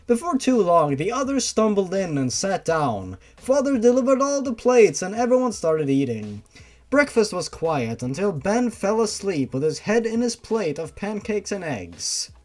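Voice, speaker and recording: Male story reader, high pitch, swooping intonation, theatrical comedic fan fiction narration. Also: sing-song, unhurried, darkish tone. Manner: theatrical, exaggerated. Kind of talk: comedic dramatic reading of fan fiction, with asides.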